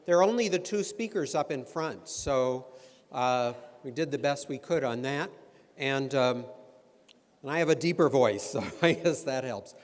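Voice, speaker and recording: A man moderating an informal question session at a luncheon, relaxed and chatty with many fillers. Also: mid-range voice, measured pace. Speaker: event moderator. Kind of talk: informal Q and A hosting. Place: large meeting room. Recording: long reverb of a large hall.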